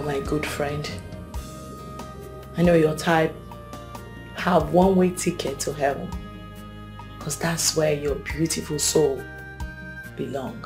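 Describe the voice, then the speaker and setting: An actress playing a young bride-to-be who speaks earnestly and tenderly to a devoted friend, sometimes in a whisper. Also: female voice, deep voice, darkish tone. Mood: mournful. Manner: earnest, solemn, partly whispered.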